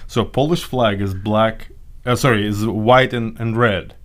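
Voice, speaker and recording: A man's voice: fairly low pitch, fairly even intonation, unhurried. A man from Ukraine, a podcast co-host telling rambling stories in casual chat and laughing often.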